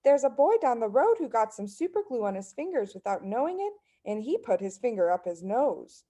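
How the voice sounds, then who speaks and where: A woman's voice: on the high side, big pitch swings, brisk, warm tone. A woman voicing a clever little girl in a children's story, innocent, matter-of-fact and cheeky.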